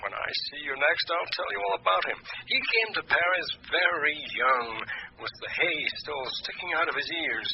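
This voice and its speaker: An actor playing a worldly French lawyer in a radio drama, gossiping in a wry, hesitant, rambling way. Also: male voice, on the high side, moderate pace, gravelly. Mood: genial, gossipy. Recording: muffled, noisy old radio recording.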